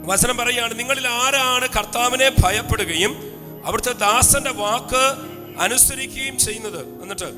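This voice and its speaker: A man preaching a fiery sermon, urging the congregation again and again to shout hallelujah. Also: extremely high pitch, moderate pace. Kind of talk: fiery sermon with call-and-response. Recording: long hall reverb with faint music.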